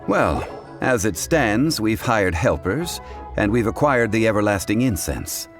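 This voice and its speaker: An actor playing a refined, calm, scholarly gentleman in a video game, speaking in a formal, measured, ponderous way. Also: on the low side. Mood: composed, musing. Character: refined, scholarly gentleman, calm and absent-minded about money. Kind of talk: video game dialogue acting. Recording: music playing behind. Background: background music.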